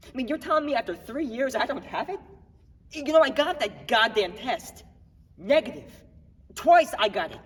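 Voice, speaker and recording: A grieving, streetwise baker played by a young man in a theatrical monologue, heard from far off in a reverberant hall. He is defiant and wry, agitated and halting, with a voice that swings widely in pitch. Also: sing-song, breathy edge, full character voice. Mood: agitated, anguished. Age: young adult. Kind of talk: dramatic monologue at a speech contest. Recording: echoey hall, recorded from far off.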